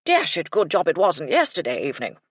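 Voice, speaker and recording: A woman's voice: lowish voice, huge pitch swings, moderate pace, slightly rough, dry recording, studio quality, heavily acted. A woman voicing a befuddled old retired army colonel, elderly and blustering in clipped, bumbling phrases. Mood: blustery.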